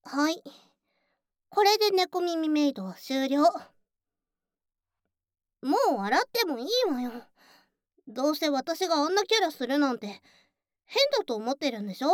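A high, girlish voice for a prickly young tsundere acted by a woman. She is brusque and teasing, easily flustered yet caring underneath, recorded dry for an ASMR drama. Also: expressive, unhurried, pauses often, dry recording. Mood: defensive. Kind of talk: ASMR situation voice drama acting.

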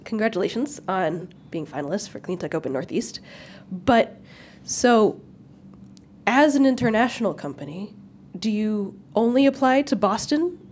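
A woman's voice: fairly high pitch, darkish tone, a little hiss or hum. A woman interviewing a startup team, asking questions in an inquisitive way with rising intonation. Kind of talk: casual interview.

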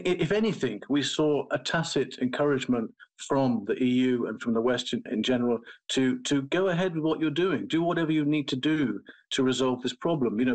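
A man's voice: mid-range voice, poor audio. A man giving unscripted political commentary as a panel guest, often repeating words as he starts a phrase.

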